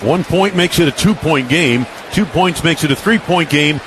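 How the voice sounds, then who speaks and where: A man's voice: on the high side, moderate pace, a crowd in the background. A man calling live football play-by-play for radio in punchy, clipped phrases over a noisy stadium broadcast feed. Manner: punchy clipped phrases, building excitement on plays. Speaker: play-by-play announcer.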